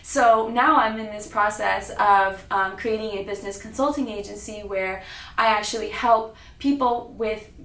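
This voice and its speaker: A woman's voice: medium pitch, steady tone, moderate pace, a bit bright. A young adult American woman telling her personal story as a public talk, recorded in a roomy space at some distance. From Sacramento, California, United States. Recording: roomy, echoing, distant mic.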